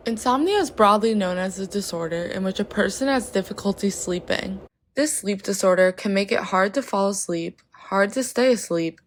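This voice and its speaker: A woman's voice: medium pitch, slight background noise, close to an everyday voice. A teenage girl voicing a female doctor who addresses her patients, reading her lines plainly.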